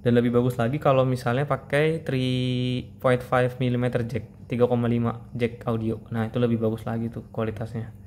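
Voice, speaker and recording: A man's voice: mid-range voice, flat delivery, a little fast, a little mellow, slight background noise. A man giving a casual product review, explaining things informally as if to friends.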